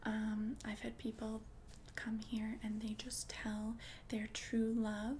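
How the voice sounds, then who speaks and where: A woman playing a calm, earnest potion-selling witch in an ASMR roleplay, whispering softly and breathily with slow, careful explanations. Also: on the high side, a little hiss or hum. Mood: helpful, soft.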